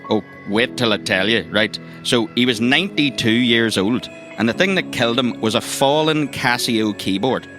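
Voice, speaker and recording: An actor playing a chatty, thirsty agency boss in a comedic audio drama, with a Northern Irish accent and a rambling, hesitant, colloquial way of talking. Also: male voice, medium pitch, normal speed, sharp tone, soft background music, lightly acted. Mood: gossipy. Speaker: mission giver briefing recruits.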